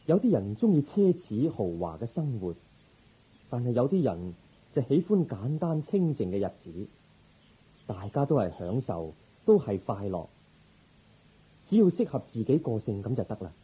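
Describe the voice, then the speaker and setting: A man playing a calm, resolute young prince turned monk in a radio drama, speaking in a measured, gentle, formal way. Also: mid-range voice, husky, low-quality recording. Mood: calm, sincere. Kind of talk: Cantonese Buddhist radio drama acting.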